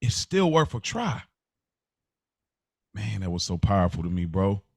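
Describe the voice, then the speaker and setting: A man's voice: lowish voice, very animated, a little slow, creaky, a little mellow, no echo, studio quality. A middle-aged American man speaking casually and earnestly as a podcast co-host, repeating phrases for emphasis. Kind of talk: casual, heartfelt conversation.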